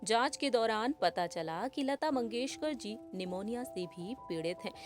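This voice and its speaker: A woman's voice: a little high, lively intonation, normal speed, over music. A woman presenter reading a scripted entertainment news report.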